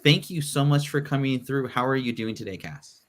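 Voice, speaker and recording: A man's voice: mid-range voice, normal speed. A man hosting a live podcast panel, talking loosely with lots of fillers and restarts. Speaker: podcast host. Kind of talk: casual live panel chat.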